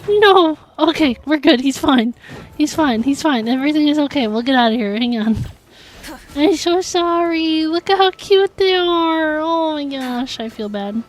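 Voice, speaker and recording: A woman's voice: high-pitched, lively intonation, unhurried. A woman livestreaming a video game, chatting casually and thinking aloud as she answers viewers. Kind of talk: casual chat while playing a video game.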